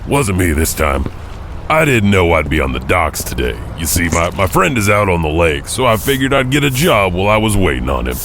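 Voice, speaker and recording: Male host as goofy custodian storyteller: low, creaky, joking, rambling, with clear ambient noise. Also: very animated, brisk, a bit bright, full character voice. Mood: chatty, jovial. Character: folksy, goofy male janitor telling strange tales. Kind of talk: in-character podcast monologue.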